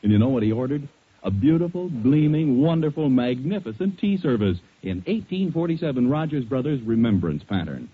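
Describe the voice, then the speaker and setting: A male American radio announcer giving an emphatic, polished 1940s scripted sales pitch. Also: very animated, fairly quick, breathy edge. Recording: thin, phone-like 1940s radio recording.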